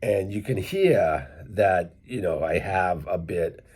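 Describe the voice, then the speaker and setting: A middle-aged man with a Worcester, Massachusetts accent walks his viewers through English pronunciation, spelling words out letter by letter. He talks slowly, and his lowish voice has a husky edge. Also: lively intonation, a little mellow. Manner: unhurried, explains step by step, spells out words. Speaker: English teacher vlogger. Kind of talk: casual teaching talk to camera.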